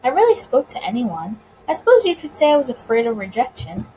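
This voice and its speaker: Woman reading as a smug, lively 1920s gangster. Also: fairly high pitch, fast talker, slight background noise, rough recording. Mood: reflective. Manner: courteous, wordy, self-assured. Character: suave, smug, teasing male gangster bootlegger of 1920s America.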